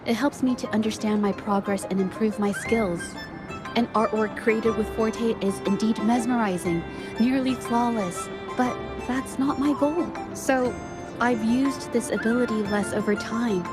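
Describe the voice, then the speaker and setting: A woman playing a polite, thoughtful young female investigator in a video game, speaking in a measured, gentle, analytical way. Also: on the high side, lightly acted. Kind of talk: video game story voice acting. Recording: music under the voice.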